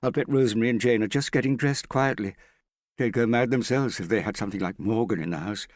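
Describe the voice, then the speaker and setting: A man's voice: mid-range voice, fast, no room sound, studio quality, voice pushed up, natural voice. A man voicing an exasperated, fretful girl in an audiobook, complaining as she goes. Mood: exasperated, near tears. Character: exasperated, tearful young female character. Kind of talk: audiobook character acting.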